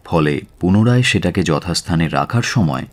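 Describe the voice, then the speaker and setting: A man playing a calm, self-assured gentleman detective in a radio drama, reasoning in a measured, persuasive way. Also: low-pitched. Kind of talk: Bengali radio drama acting.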